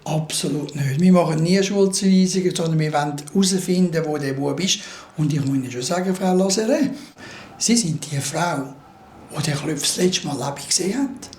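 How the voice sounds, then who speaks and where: A calm, probing investigator played by an actor questions a witness with a Swiss German accent. The delivery is formal and insistent, in a roomy, echoing space with faint noise, as in naturalistic radio drama. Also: medium pitch, moderate pace, lightly acted. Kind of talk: naturalistic radio play dialogue.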